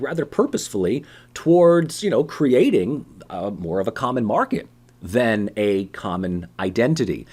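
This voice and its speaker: A man giving a political science lecture in a conversational way, full of fillers and rhetorical questions. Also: mid-range voice. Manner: animated, acts out voices of ordinary people. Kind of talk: recorded university lecture.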